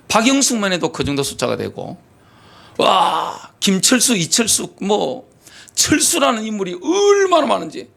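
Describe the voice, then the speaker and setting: A middle-aged male pastor preaching in a church sanctuary with a high, lively voice that leaps up and down. His tone is bright and gravelly, and he presses his points with rhetorical questions. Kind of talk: sermon.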